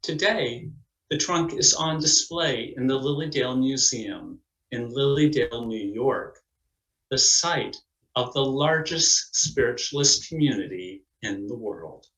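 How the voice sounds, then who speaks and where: Guy doing formal scripted narration, medium pitch, roomy echo, measured like an event announcer. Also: fairly bright tone. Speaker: narrator and presenter of a community play. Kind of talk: scripted narration and cast introductions.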